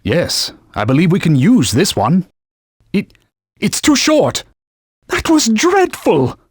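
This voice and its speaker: Bossy talking sword, stuffy and formal, bit husky, jumps way up high when panicked, game voice clips. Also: male voice, huge pitch swings, slow pace, dry recording, studio quality, full character voice. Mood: alarmed. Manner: pompous, formal and scolding, turns shrill when alarmed. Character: haughty talking male sacred sword, stern mentor. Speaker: sidekick guide. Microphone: dry studio mic.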